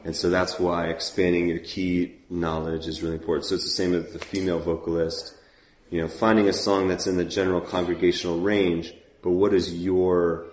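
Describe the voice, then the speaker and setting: A man's voice: low-pitched, little pitch movement, fairly quick, echoey room. A man who leads worship, talking casually and loosely about song keys, with many fillers. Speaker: worship leader teaching. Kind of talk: casual teaching talk.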